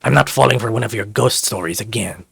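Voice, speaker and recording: A man voicing a big, gruff, good-natured dragon boyfriend with a blunt, laid-back way of talking, in a visual novel. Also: lowish voice, fast talker, fairly bright tone, no echo, heavily acted. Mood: sceptical. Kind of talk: visual novel character acting.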